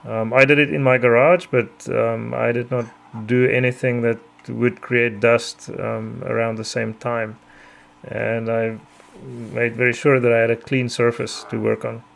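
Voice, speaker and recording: Middle-aged Afrikaans-native male narrator, mid-pitched gravelly voice, methodical tutorial delivery. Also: flat delivery. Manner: measured, careful, frequent ums. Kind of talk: explanatory voice-over.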